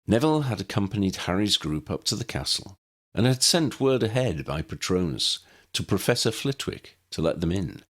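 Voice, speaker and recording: A man's voice: lowish voice, very animated, moderate pace. A man reading a scripted story as an audiobook narrator.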